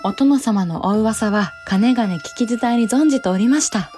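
A woman's voice: a little high, normal speed, dark tone, faint music behind, lightly acted. A woman playing a spirited, forthright young samurai bride in a Japanese period audio drama, candid yet politely archaic in speech. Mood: amused, teasing.

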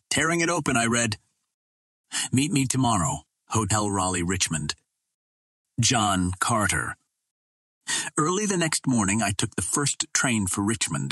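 Low-voiced man narrating, slightly husky. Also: fairly bright tone, no echo. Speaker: audiobook narrator. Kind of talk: scripted literary narration, reading an old adventure novel.

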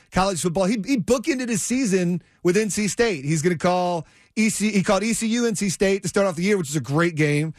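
A male sports radio host with a voice pitched a little high. He chats casually and effusively, rambling through his points, in a very dry studio sound. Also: no echo.

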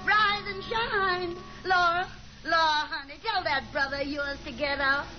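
A woman's voice: very high-pitched, fairly even intonation, unhurried, soft background music. An actress playing a fretful, self-pitying middle-aged mother who coaxes and nags in a 1950s radio drama. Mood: coaxing, fussy. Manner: coaxing, plaintive, fussy. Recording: dull, hissy old 1950s radio broadcast.

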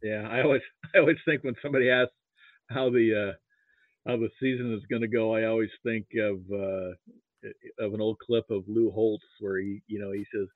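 An American man from Nebraska telling anecdotes casually and haltingly as a guest, over a narrow phone-like connection. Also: on the low side, fairly even intonation, no echo. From Nebraska, United States. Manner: halting, hesitant. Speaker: podcast guest. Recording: narrow tinny phone-like line.

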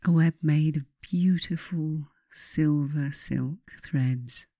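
A woman reading her own self-help writing as a meditative guide, in a measured, softly instructive way with deliberate pauses. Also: sits low, no echo, clean studio sound.

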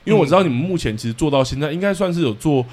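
A man's voice: a little high, expressive, rapid-fire, a little mellow. A man with a Taiwanese accent hosting a casual interview, putting questions to his guest. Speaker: podcast host, interviewer.

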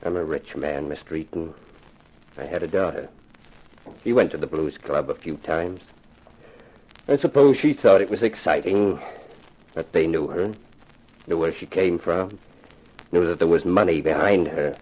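Grave, deep, husky rich gentleman. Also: rough recording. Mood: bitter, sorrowful. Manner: formal, measured, solemn phrasing. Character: grave, formal, wealthy father, a grieving client. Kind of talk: 1950s radio crime drama acting.

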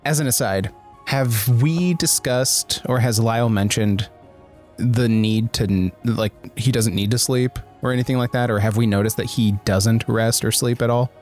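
A man's voice: mid-range voice, normal speed, darkish tone, soft background music. A man playing in a tabletop role-playing podcast, casually describing his character and asking questions.

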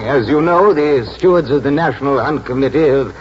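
A man playing a formal, halting chairman of a racing committee, in a 1940s radio drama style. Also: mid-range voice, soft background music, close to an everyday voice. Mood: official. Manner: halting, official. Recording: dull, hissy 1940s radio recording.

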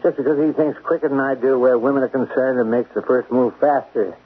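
A man playing a drunk obsessive manager, husky. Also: mid-range voice, steady tone, a little fast, lightly acted. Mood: fervent. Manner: slurring, pleading, intense. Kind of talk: 1940s radio acting. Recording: tinny old radio broadcast.

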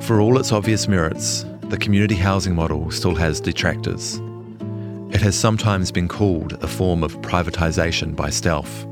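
A man with a New Zealand accent narrates a scripted feature in a very low voice. He reads as a journalist telling his own written story. Guitar music plays clearly under his words. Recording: music behind the voice.

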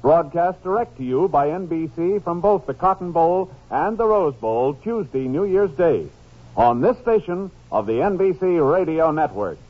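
High-pitched vintage American radio announcer, male. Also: expressive, normal speed. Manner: formal, polished promotional delivery. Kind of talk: 1950s network radio announcing, scripted. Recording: muffled vintage radio broadcast, music behind.

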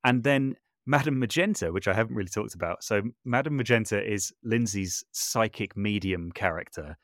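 A man's voice: lowish voice, normal speed, no echo, studio quality. A man talking casually as a podcast guest, explaining things in an informal, conversational way. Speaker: podcast guest, audio drama maker and voice artist. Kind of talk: casual interview talk, explaining.

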